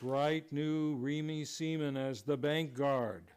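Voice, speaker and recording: A man with a slightly high voice announces an old-time radio show. His delivery is formal, scripted and theatrical, with faint noise behind him. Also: steady tone, slow.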